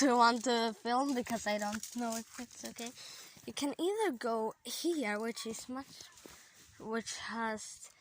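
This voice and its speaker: Slow, hesitant Swedish woman vlogging outdoors. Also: on the high side, slight background noise. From Sweden. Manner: hesitant, many fillers, chatty asides. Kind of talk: casual walking vlog. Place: outdoor campsite among trees.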